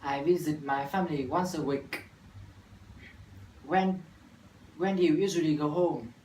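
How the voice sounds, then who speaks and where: A man's voice: on the high side, a little slow, darkish tone. Young Vietnamese man reciting a practice dialogue. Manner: careful, recited phrasing with restarts. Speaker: university student. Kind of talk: scripted classroom dialogue practice. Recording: echoey room from far off, faint noise.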